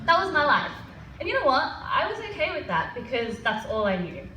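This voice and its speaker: A woman in her thirties with an Australian accent, telling personal stories to an audience in an animated way. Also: high voice, brisk. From Melbourne, Australia. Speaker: guest speaker. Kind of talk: personal storytelling talk to an audience. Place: event hall with an audience. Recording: echoey hall, distant mic, faint noise.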